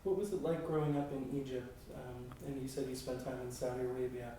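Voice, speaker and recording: A man interviewing for an oral history, asking gentle, hesitant questions. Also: medium pitch, steady tone, fairly quick. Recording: distant, echoey room with faint noise.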